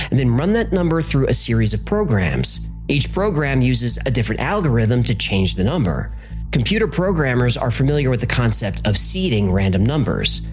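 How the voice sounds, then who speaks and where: A man narrating a scripted documentary in a conversational, explanatory way, posing rhetorical questions as host. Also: medium pitch.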